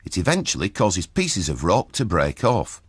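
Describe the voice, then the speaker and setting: A man's voice: low voice, wildly swooping pitch, normal speed, husky, no echo, clean studio sound. A man narrating a scripted educational clip, explaining a process step by step.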